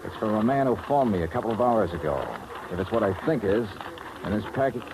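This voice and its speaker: Wry American radio detective, lively delivery. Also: male voice, on the low side, quick pace, noisy background. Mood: brusque. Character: tough, wry male insurance investigator, sardonic and guarded. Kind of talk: 1950s radio drama acting. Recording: vintage 1950s radio recording, music behind.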